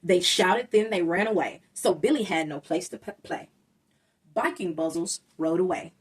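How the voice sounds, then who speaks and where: A woman's voice: on the low side, moderate pace, breathy edge, distant mic. A woman reading a rhyming children's story aloud, expressively and with dramatic emphasis. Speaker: storybook reader.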